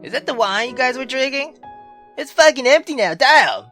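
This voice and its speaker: A woman hosting a gaming video, reading a visual novel aloud with expressive, casual, sweary commentary. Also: high voice, normal speed. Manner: expressive, swearing, exclamatory asides. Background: faint game music.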